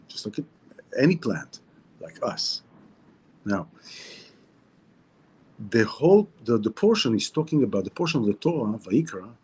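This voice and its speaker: A man with an Israeli Hebrew accent gives a casual spiritual talk in a low, husky, mellow voice. He is reflective, circles back over his phrases and often restarts mid-sentence. He records indoors at a seaside hotel. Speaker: host of a weekly Torah talk.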